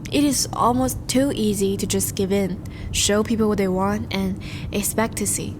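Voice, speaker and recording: A woman playing a charming, courtly vampire suitor in a romantic audio roleplay, speaking formally and gently to the listener. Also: mid-range voice, fairly even intonation, moderate pace, background noise, lightly acted. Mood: tender, wistful. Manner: formal, courtly, gentle phrasing with soft sighs.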